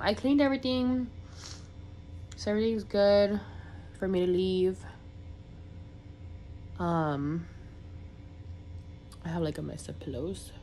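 A young woman talks to her viewers at an unhurried pace, her voice sitting a little low. She sounds congested, and she rambles casually, padding her talk with fillers. A faint hiss of noise sits behind her. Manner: rambling, filler-heavy, chatty. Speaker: vlogger.

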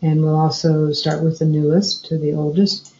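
A woman explaining software step by step as a trainer narrating a screencast tutorial. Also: low-pitched, flat delivery, normal speed. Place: indoor room, screen recording voice-over. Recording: dull, roomy sound.

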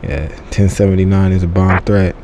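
A man's voice: sits low, fairly even intonation, moderate pace, warm tone. A man giving a casual, unscripted app review in a slangy, joking, offhand way. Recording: clearly noisy background.

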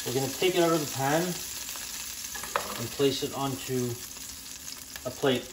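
Relaxed young man teaching a home recipe. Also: mid-range voice, slow, background noise. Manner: relaxed and plain-spoken, with frequent "uh" and "um" fillers and small self-corrections. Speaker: home cooking channel host. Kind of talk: step-by-step cooking instruction. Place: home kitchen at the stove.

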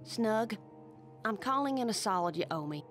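A woman voicing a determined, sharp superhero mother in an animated game, firm and urgent. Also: medium pitch, moderate pace, soft background music, natural voice. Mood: wary, tense. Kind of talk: animated film-style game dialogue.

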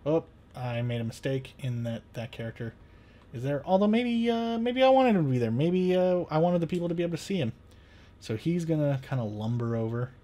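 Young guy, rambly playful tutorial host thinking out loud, some background noise. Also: fairly high pitch, animated, a little fast. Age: young adult. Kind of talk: casual software tutorial, thinking aloud.

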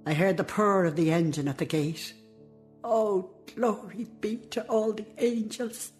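A woman's voice: medium pitch, a little slow, faint music behind, natural voice. An older Irish actress in a radio play, playing a devoted, plain-spoken old woman in a heartfelt way. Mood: wistful, anticipating. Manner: expressive, heartfelt. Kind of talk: radio drama narration and dialogue.

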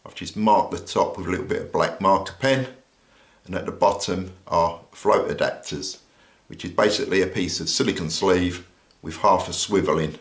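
An older British man with an easygoing manner, explaining a survival kit item by item while pausing now and then. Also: sits low, reverberant. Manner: relaxed, explanatory, with frequent "uh" pauses. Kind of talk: casual show-and-tell demonstration. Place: indoors at a table. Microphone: overhead camera on hands, speaker off frame.

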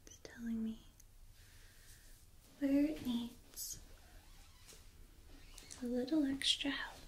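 A young woman performs a soft ASMR role play as an energy healer, slipping between a high, gentle voice and breathy whispers. She guides the listener extremely slowly and soothingly, very close to a binaural microphone. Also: steady tone, slight background noise. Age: young adult. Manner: slow, soothing, hushed guiding. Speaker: ASMR performer playing a Reiki practitioner.

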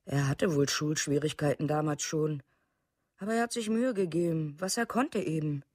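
An actress playing a gentle, sorrowful mother in a documentary radio play, speaking plainly and in a subdued way. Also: deep voice, normal speed, dry recording, studio quality. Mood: subdued, sad. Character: Birgit, gentle, sorrowful mother of murdered boy.

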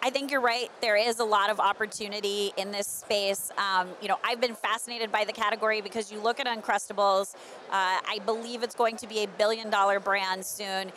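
A woman's voice: mid-range voice, steady tone, fairly quick, fairly bright tone. A woman in her thirties interviewing on camera with a handheld microphone, in a conversational presenter's manner. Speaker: editor and interviewer. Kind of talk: on-camera trade show interview. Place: busy trade show booth indoors. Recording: faint convention hall background noise.

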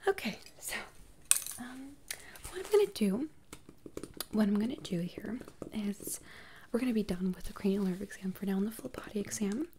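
A woman speaking in a breathy whisper, playing a doctor in an ASMR exam roleplay with gentle, reassuring step-by-step instructions. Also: medium pitch, lively intonation, moderate pace, long pauses, slight background noise.

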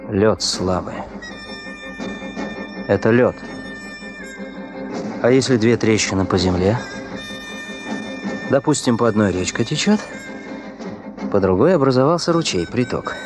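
A man's voice: on the low side, expressive, unhurried, long pauses. An actor playing an intense young male geologist who thinks aloud in short bursts, in 1970s radio drama style. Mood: musing. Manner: terse, musing in short bursts. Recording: 1970s radio play with orchestral music. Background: orchestral music.

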